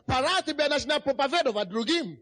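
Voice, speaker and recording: A man preaching a passionate sermon in church, speaking Russian with a non-native accent and often shouting. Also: extremely high pitch, expressive, fast. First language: not Russian. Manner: impassioned preaching, shouting and chanting. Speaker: pastor.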